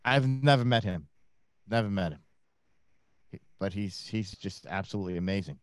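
A man's voice: fairly low pitch, normal speed, clear, resonant tone, dry recording. A man in a small home studio, a podcast guest in easygoing casual chat over a video call. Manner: easygoing, brief agreeing replies.

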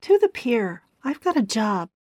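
A blunt, hot-tempered young woman, voiced by a woman narrator, sharp and exasperated, always ready with an insult. Her voice sits high and pointed, and the recording is dry and close. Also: big pitch swings, unhurried, no room sound. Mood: curt. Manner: sharp, exasperated, name-calling. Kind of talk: audiobook character voice.

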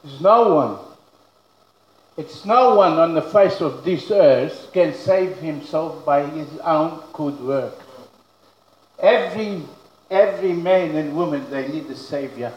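A man preaching a sermon as pastor, speaking English as a second language with broken grammar, loud and emphatic, slowing down to stretch key words. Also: a little high, dull audio. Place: church. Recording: very echoey room.

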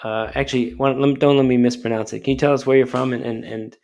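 A man hosting a panel interview, asking questions in a hesitant, halting way. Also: medium pitch, steady tone, darkish tone. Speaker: host. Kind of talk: interview moderation.

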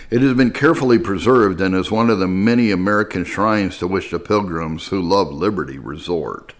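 Male narrator, lower register, formal and measured delivery for scripted historical audiobook reading.

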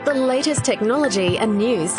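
A woman's voice: fairly high pitch, animated, normal speed, over music. A woman reading a scripted promotional podcast intro as an announcer.

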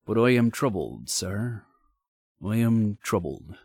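A man voicing a troubled, courteous railway signalman in a storybook, speaking gravely and haltingly with deference. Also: on the low side, very animated, a little mellow, dry recording, clean studio sound, full character voice.

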